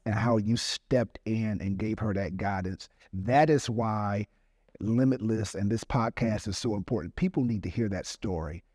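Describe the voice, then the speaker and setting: A man hosts an interview in a fairly low voice, speaking with emphasis and enthusiasm. The sound is close and dry. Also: moderate pace, darkish tone, no room sound. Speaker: podcast host. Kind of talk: interview hosting.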